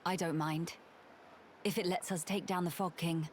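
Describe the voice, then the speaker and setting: A woman's voice: on the low side, fairly even intonation, slight background noise, lightly acted. Woman playing a composed, regal young princess. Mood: calm, gracious. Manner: formal, measured, dignified diction. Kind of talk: video game cutscene acting.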